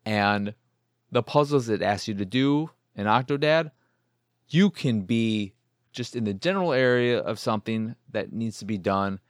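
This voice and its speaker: American man, lower-pitched voice, close dry sound, casual solo podcast review, rambling, profane. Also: measured pace, no echo. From Chicago, United States. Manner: rambling, profane, self-amused. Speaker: video game podcast host.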